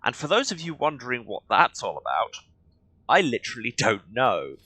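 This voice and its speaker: A man's voice: medium pitch, huge pitch swings, moderate pace, sharp tone, no echo. A man giving casual, wry game commentary as a let's play streamer, with sarcastic asides. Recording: clear game noise behind the voice. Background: video game sound.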